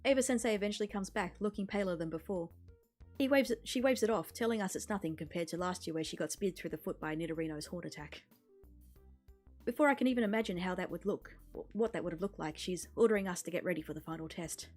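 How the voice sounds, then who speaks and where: Expressive woman streamer reading over music. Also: on the low side, quick pace. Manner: expressive storytelling with character voices. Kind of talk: reading a visual novel aloud on a livestream. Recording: music behind the voice.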